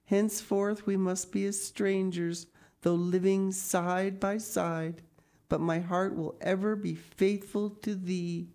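A woman's voice: medium pitch, level tone, takes it slowly, warm tone, pitched above the performer's own voice. A woman voicing a dramatic, romantic young orphan girl in a storybook, earnest and tearful, with flowery old-fashioned phrasing. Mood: solemn, romantic. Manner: flowery, archaic, theatrical phrasing. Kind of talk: audiobook character reading.

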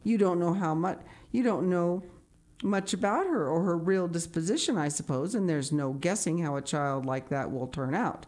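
A woman's voice: on the low side, normal speed, darkish tone, close to an everyday voice. A woman voicing a blunt, indignant, gossipy older village matron in an emphatic, scolding way for a storybook reading. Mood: gloomy.

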